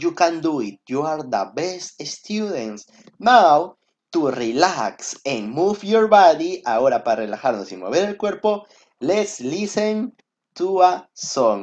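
Young Peruvian man, Spanish-accented English teacher, very slow pace, animated pitch, encouraging, muffled lo-fi recording. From Peru. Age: 20s. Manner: encouraging, simple repeated instructions. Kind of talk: bilingual recorded lesson. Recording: dull, lo-fi screen-recording audio.

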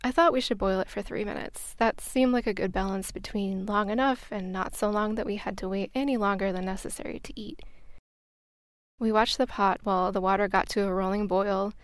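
A woman's voice: medium pitch, fairly even intonation, brisk, dry recording. An American woman hosting a podcast, reading a scripted travel story as narration. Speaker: podcast host.

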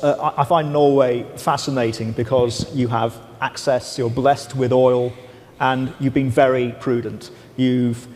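A man's voice: medium pitch, a little mellow. Guy moderating a panel, formal questions, echoey hall. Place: large conference hall stage. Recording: reverberant conference hall.

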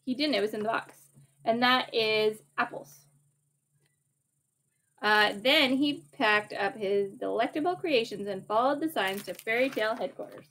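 Woman, 40s, high voice, storybook reader explaining simply to a young child. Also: unhurried, fairly bright tone, slight background noise. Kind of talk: reading a picture book aloud. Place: bright sunroom at home, seated on wicker sofa. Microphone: camera a few feet away.

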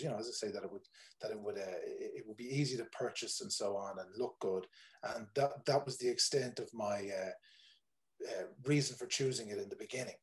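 A man's voice: lowish voice, a little mellow. A man in his forties with an Irish accent, a tour operator talking casually on a video call, rambling with many fillers and restarts. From Ireland. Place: home room, indoors.

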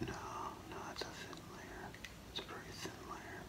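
A middle-aged man whispering in a halting, hushed ASMR role play as an appraiser, breathy and gravelly, close to the mic. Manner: slow, hushed, halting, with long pauses. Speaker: ASMR performer role-playing a gemologist. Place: small curtained room indoors.

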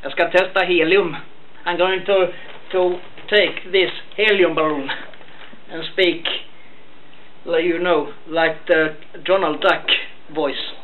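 A man's voice: fairly high pitch, steady tone, phone-quality sound. A young man talking casually to a camera in an indoor room. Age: young adult. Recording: amateur camera, faint background noise.

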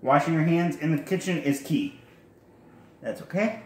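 A young man with a slightly high voice talks his way through a home cooking demo, rambling and correcting himself. He's filmed on a phone in an echoey kitchen, with faint clatter of dishes behind him. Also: lively intonation, moderate pace, slight background noise. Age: young adult. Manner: rambling, self-correcting, chatty asides. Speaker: cooking vlogger. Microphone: handheld phone camera.

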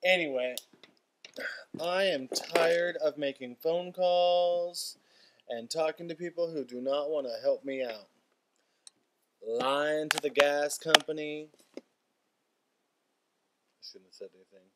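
A man's voice: fairly high pitch, slow, long pauses, noisy background. A man in his twenties talking casually in a weary, dryly sarcastic, grumbling way, as in a rambling video diary at home on a webcam. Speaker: vlogger. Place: room in a house.

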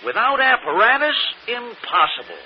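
Washed-up vaudevillian, high, swooping, self-important, muffled old radio. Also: male voice, very animated, normal speed, slight background noise. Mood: scornful. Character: vain, skeptical washed-up vaudeville performer. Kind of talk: old-time radio mystery acting.

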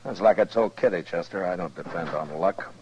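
An actor playing a steady, weary, plain-spoken frontier marshal in a 1950s radio western. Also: male voice, sits low, a little fast, highs cut off, background noise, lightly acted. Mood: unworried. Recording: 1950s radio drama recording.

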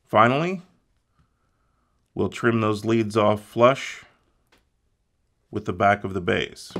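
Low-voiced man giving methodical repair steps. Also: slow pace, gravelly, a bit bright. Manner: methodical, plain instructional delivery. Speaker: repair instructor. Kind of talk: step-by-step repair tutorial.

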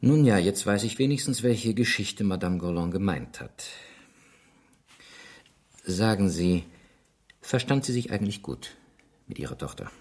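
An actor playing a persistent police inspector in a German radio drama, probing and insistent. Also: male voice, fairly low pitch, animated, unhurried, pauses often, darkish tone. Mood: thoughtful, probing. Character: persistent male French police inspector questioning witnesses.

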